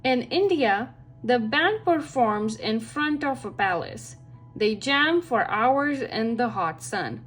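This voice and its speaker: A woman reading a children's picture book aloud as a storyteller, with expressive, deliberate phrasing for young listeners. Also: on the high side, soft background music.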